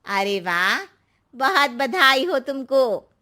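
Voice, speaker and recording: A woman voicing a nosy, sly middle-aged auntie with an effusive, drawn-out way of talking, in a cartoon story. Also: high-pitched, big pitch swings, sharp tone, exaggerated voice. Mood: gushing.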